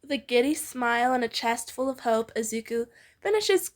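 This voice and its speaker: Young woman w a highish voice reading a story out loud, playful n giggly. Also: lively intonation, moderate pace. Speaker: amateur fan fiction reader. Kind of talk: informal read-aloud with a friend.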